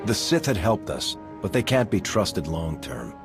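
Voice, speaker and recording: Very deep-voiced, resolute military commander. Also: male voice, very animated, music in the background, heavily acted. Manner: earnest, resolute, measured. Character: steadfast gruff male military commander, veteran war hero. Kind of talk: video game cutscene acting.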